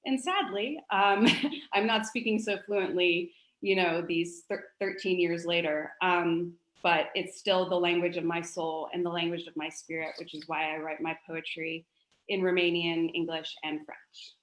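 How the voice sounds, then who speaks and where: A woman's voice: lowish voice, fairly even intonation, measured pace, fairly bright tone. A woman around forty with an American accent, an author talking casually and expressively about her own life. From North Carolina, United States. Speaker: author and poet, guest. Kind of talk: casual personal storytelling at a book launch. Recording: roomy, echoey livestream audio.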